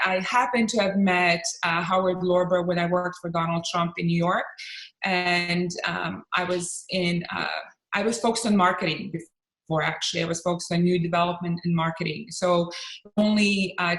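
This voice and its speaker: A woman's voice: fairly low pitch, fairly bright tone. Earnest woman in an echoey room. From Bosnia and Croatia. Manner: earnest, measured, pauses to pick words. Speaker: guest, real estate agent. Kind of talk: interview conversation. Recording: roomy echo.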